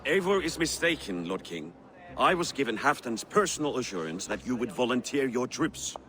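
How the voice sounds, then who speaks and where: A man's voice: medium pitch, very animated, normal speed, a bit bright, a little hiss or hum. A man playing a proud, hot-tempered Viking war schemer in a video game, formal and imperious. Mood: smooth persuasion. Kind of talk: video game dramatic acting.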